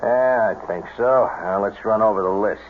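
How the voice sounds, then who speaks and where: An actor playing an excitable, slow-witted male accomplice to a crook, animated and questioning, in an old-time radio drama. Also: on the low side, lightly acted. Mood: doubtful. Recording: tinny, noisy old radio recording.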